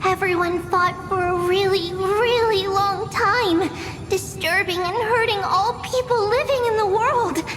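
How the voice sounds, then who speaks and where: A woman's voice: very high voice, measured pace. A woman voicing a chirpy, bossy little girl in a video game, telling history like a storybook lesson in a singsong manner. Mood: playful, storytelling. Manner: singsong, simple childlike wording. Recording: long hall reverb, music behind. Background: game music.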